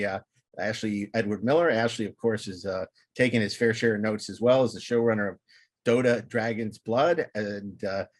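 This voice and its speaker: A man's voice: on the low side, no room sound. Man hosting a podcast, dry close sound. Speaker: podcast host. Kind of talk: film discussion with read-out studio notes.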